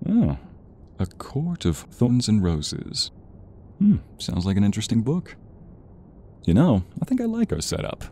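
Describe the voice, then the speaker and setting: A man's voice: lowish voice, wildly swooping pitch, a little slow, long pauses, mellow, slight background noise, pitched above the performer's own voice, full character voice. A man playing a playful, doting boyfriend at a home gym in a romance audio roleplay, chatting in a teasing, affectionate way with small grunts.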